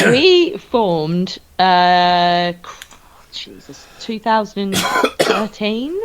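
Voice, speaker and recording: A woman's voice: medium pitch, lively intonation, extremely slow, slight background noise. A woman from England, a band's singer, chatting as an interview guest with dry, self-mocking humor. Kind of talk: casual interview chat.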